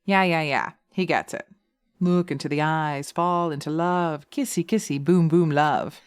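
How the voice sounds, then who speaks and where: A woman voicing a sharp, practical witch in a roleplay audio drama, teasing and theatrical with a hint of menace. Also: deep voice, smooth. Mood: exasperated. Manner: theatrical, teasing, drawn-out words with pet names.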